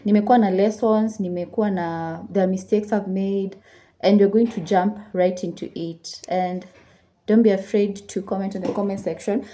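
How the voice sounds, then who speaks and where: A woman's voice: mid-range voice, steady tone, moderate pace, mellow. A woman, chatting casually to her viewers while she does her makeup, slipping Swahili phrases into her English. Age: 20s. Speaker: lifestyle YouTuber. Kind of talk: chatty get-ready-with-me talk while doing makeup. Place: indoors at home.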